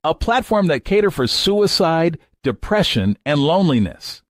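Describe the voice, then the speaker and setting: A man's voice: on the high side, big pitch swings, normal speed, no room sound, clean studio sound. A man giving a promotional interview answer as a spokesman. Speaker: platform spokesman.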